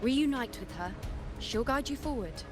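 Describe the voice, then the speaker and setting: An actress playing a calm, knowing mentor in a video game, speaking in a measured, deliberate, instructive way. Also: female voice, fairly high pitch, over music, natural voice. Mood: calm, guiding. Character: calm, knowing female mentor, composed and cryptic. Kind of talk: dramatic video game acting.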